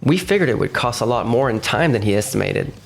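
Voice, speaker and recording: A man's voice: mid-range voice, expressive, quick pace, creaky, faint music behind. A man playing an earnest young businessman in a romcom manga dub, with explanatory scripted delivery. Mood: matter-of-fact.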